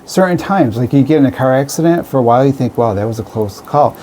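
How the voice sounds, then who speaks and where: A man's voice: medium pitch, animated, fast, dark tone, a little hiss or hum. A man in his fifties or older hosting a conversational radio interview, rephrasing his questions as he goes. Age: 50s or older. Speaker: radio show host interviewer.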